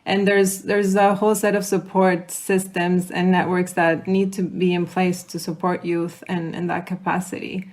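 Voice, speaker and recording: Low, even-voiced woman podcast host. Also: normal speed, hardly any pauses. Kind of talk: conversational interviewing.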